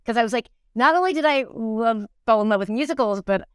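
A middle-aged woman chatting reflectively as an interview guest, animated and thinking aloud, often doubling back on her words. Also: on the high side, a little fast, smooth, no echo, studio quality. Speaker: interview guest, musical theatre actor. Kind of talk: casual reflective conversation.

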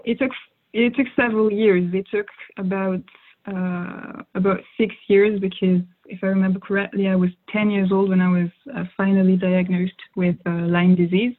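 A woman's voice: mid-range voice, flat delivery, moderate pace. A young woman whose first language is French, answering interview questions thoughtfully with frequent fillers and restarts. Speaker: interview guest. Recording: phone-like narrow sound.

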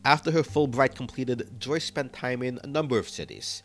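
A man's voice: medium pitch, normal speed, faint music behind. A man hosting a podcast, reading scripted narration that links the interview clips. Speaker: podcast host.

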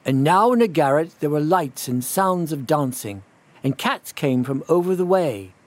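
A man reading a children's storybook as a scripted narration, in an expressive, measured storytelling manner. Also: a little high.

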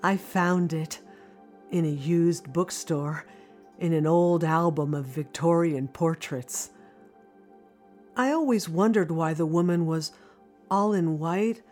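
A woman's voice: lowish voice, darkish tone. An actress playing a reflective, lonely woman narrating memories of her childhood in an audio drama. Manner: wistful, deliberate. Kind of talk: scripted first-person dramatic narration.

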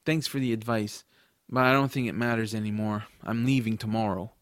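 A man playing a curious, adventurous young boy who narrates his own story in an expressive, earnest audiobook style. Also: fairly low pitch, brisk, no room sound, clean studio sound, natural voice. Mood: earnest, wistful. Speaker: narrator and lead character.

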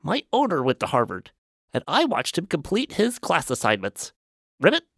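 An actor voicing a smug, educated talking frog in an audio storybook drama, punctuating speech with croaked ribbits. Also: mid-range voice, wildly swooping pitch, normal speed, a bit bright, dry recording, clean studio sound, full character voice. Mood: matter-of-fact, smug. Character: talking frog, well-schooled, matter-of-fact, slightly smug male.

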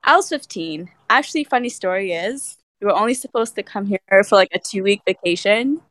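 A woman's voice: mid-range voice, expressive, fairly quick, a bit bright, no echo. A young woman from Kenya, a guest on a casual podcast, easygoing and chuckling often.

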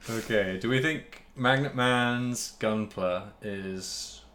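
A man's voice: fairly low pitch, takes it slowly, a bit bright, a little hiss or hum. A man co-hosting a podcast, chatting casually and jokily about a video game in a rambling, stop-start way. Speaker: podcast co-host.

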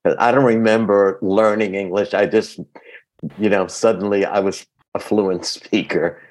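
A man's voice: on the low side, fairly even intonation, a little slow, a little mellow, rough recording. A man from Queens, New York, a native Greek speaker, speaking as an interview guest and telling personal stories in a conversational way. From grew up in Queens, New York, from early childhood. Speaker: guest, actor.

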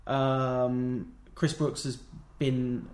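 A man's voice: mid-range voice, little pitch movement, extremely slow, darkish tone. Wry British man in an echoey room. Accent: British English. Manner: wry, rambling, jokey asides. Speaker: podcast co-host. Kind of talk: casual wrestling chat. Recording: roomy echo.

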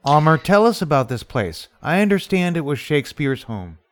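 A male radio host with a fairly high voice speaks playfully and jokes with his guests. His tone swings up and down a lot. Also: big pitch swings, normal speed. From San Francisco Bay Area, California. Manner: playful, jokey. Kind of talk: casual radio interview.

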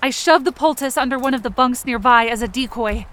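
A woman's voice: fairly high pitch, fairly quick, background noise, natural voice. A woman playing a frightened but determined young woman, narrating her ordeal in the present tense in a tense, urgent audio drama style. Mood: tense, hushed.

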